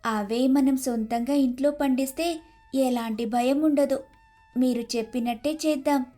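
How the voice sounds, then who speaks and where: Woman doing sweet young daughter-in-law in a story, high soft-toned voice, earnest and respectful. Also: fairly even intonation, unhurried, soft background music. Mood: eager. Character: eager, grateful, trusting young daughter-in-law. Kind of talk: scripted storybook acting.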